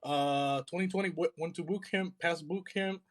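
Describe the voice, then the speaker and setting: A young man from Puerto Rico talking casually on a live stream, laid-back and halting with lots of fillers. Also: fairly high pitch. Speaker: podcast co-host.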